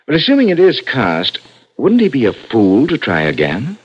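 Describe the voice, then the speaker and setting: Earnest, deferential police subordinate, animated mid-pitched voice, vintage radio crime drama with muffled sound. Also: male voice, normal speed, slightly rough. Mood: questioning. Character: earnest male junior police detective, deferential to boss. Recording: dull old radio broadcast.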